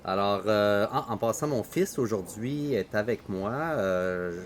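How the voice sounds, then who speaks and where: A middle-aged man with a Québécois accent, hosting a casual podcast conversation with a relaxed, hesitant manner and many fillers. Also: fairly low pitch, a little slow. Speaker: podcast host. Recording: faint background noise.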